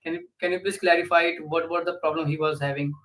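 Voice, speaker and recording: A man's voice: a little high, little pitch movement, fast talker, fairly bright tone, low-quality recording. Polite caller, a man whose first language is Hindi. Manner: polite, hesitant, rephrasing. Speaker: caller on a live stream. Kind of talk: polite questions.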